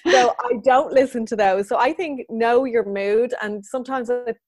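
A woman's voice: on the high side, moderate pace. A woman in her thirties who runs a business, answering interview questions in a chatty, earnest way and laughing often. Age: 30s. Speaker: business owner guest.

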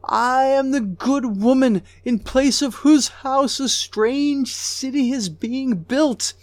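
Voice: high voice, slow pace, no echo. A reader voicing a wailing, grieving goddess-queen. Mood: mournful. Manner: wailing, lamenting, voice breaking with sobs. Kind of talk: dramatic recitation of an ancient lament.

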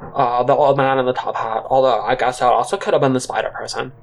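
A man's voice: mid-range voice, steady tone, fast talker, creaky. A man narrating a comic audio drama in the first person, with a rambling, awkward, conversational manner.

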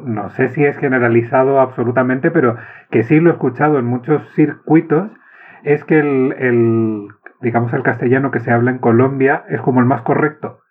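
A man from Chile with a Chilean accent, hosting a casual conversation and asking curious, relaxed questions. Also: medium pitch, fairly quick, husky. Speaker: podcast host. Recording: tinny phone-like line.

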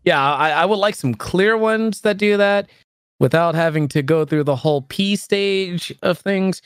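A man's voice: high-pitched, measured pace, clean tone, dry recording, clean studio sound. A man in his early thirties, a streamer chatting casually and rambling, often laughing and poking fun at himself. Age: 30s. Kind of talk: casual chat.

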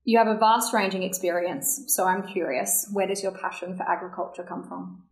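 A woman's voice: lowish voice, steady tone, brisk. A woman hosting an interview podcast, speaking in formal, carefully worded phrases as she introduces and questions a guest. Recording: roomy, echoey sound.

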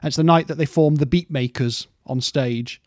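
A man's voice: fairly high pitch, moderate pace, a little mellow, no echo, clean studio sound. A man co-hosting a casual music history podcast, rambling through stories in a playful way.

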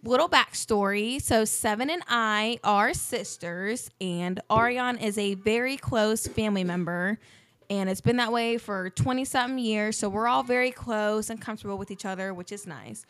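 Woman, twenties, upbeat casual co-host, a little high-voiced. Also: a bit bright, no echo. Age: 20s. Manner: friendly, rambling, upbeat. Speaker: podcast co-host. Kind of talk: casual chat.

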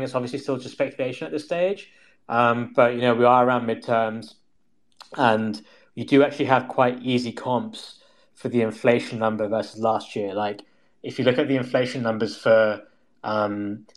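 Man giving casual, hedging market commentary. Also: fairly low pitch.

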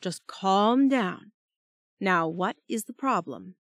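A woman voicing a calm, firm female team leader in an audiobook, soothing and measured. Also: mid-range voice, dry recording, studio quality.

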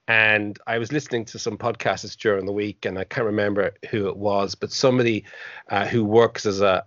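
A man's voice: fairly low pitch, a bit bright, no echo, lo-fi sound. A man from Ireland, rambling candidly on a football podcast and laughing easily. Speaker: podcast panelist. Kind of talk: casual football podcast chat.

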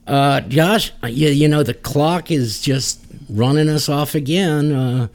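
A man's voice: on the high side, a little slow. A man co-hosting a music podcast in casual, joking banter. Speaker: podcast co-host.

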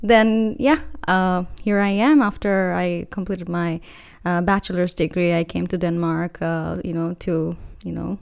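Young woman from Bangladesh, podcast co-host, gravelly voice, hesitant with fillers. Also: on the low side, fairly even intonation, a little slow, few pauses, a little mellow. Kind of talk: casual chat.

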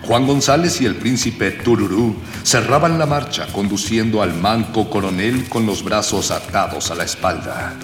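A man's voice: lowish voice, moderate pace, few pauses, faint music behind. A man narrating a scripted radio serial in a florid, old-fashioned storytelling manner. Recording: echoey long reverb, clear hiss.

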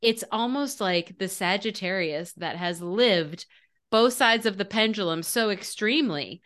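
Animated woman in casual podcast chat. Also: mid-range voice, unhurried. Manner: animated, emphatic, explaining eagerly. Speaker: podcast co-host.

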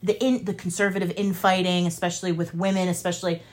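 A woman's voice: fairly low pitch, little pitch movement, quick pace. Woman co-hosting casual political chat. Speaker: podcast co-host.

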